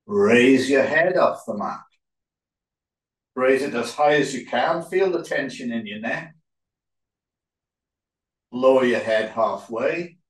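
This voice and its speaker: Guy teaching yoga relaxation, really slow and calm, sounds far from the mic in an echoey room. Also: mid-range voice. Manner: calm, unhurried, short phrases with pauses. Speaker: yoga instructor. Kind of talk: guided relaxation instruction. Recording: roomy echo, distant microphone.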